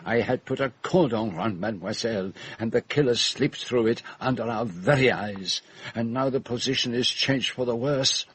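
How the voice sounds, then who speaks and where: An actor playing a shrewd, theatrical middle-aged detective with a Belgian French accent, speaking in formal, emphatic phrases in a radio drama. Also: male voice, mid-range voice, moderate pace, fairly bright tone, breathy edge. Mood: grave, insistent. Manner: emphatic, precise, formal phrasing with rhetorical questions.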